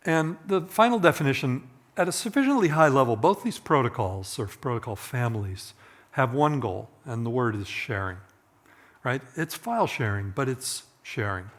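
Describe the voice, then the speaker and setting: A middle-aged man presents at a technical conference with a slightly hoarse voice at an ordinary male pitch. His delivery is informal and conversational, with hedged asides as if he is thinking aloud. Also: animated, normal speed. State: voice getting hoarse.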